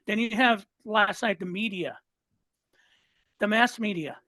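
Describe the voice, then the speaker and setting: A man's voice: high-pitched, unhurried, sharp tone, no room sound. A man in his sixties from Southern California, a listener guest talking politics in a fired-up way, often repeating and restarting words. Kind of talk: casual political chat.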